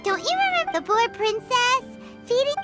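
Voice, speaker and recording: Tiny floating game fairy: very high squeaky voice, nosy, excitable, swooping delivery. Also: female voice, moderate pace, over loud music. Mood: excited. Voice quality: squeaky, childlike. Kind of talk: anime game voice acting.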